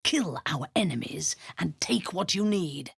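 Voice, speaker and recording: An actress voicing a cold, imperious woman warrior-commander and zealous cultist in a video game, speaking in a low, husky, half-whispered menace. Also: lively intonation, measured pace, fairly bright tone, no echo, clean studio sound, exaggerated voice. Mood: menacing. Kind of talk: video game character acting.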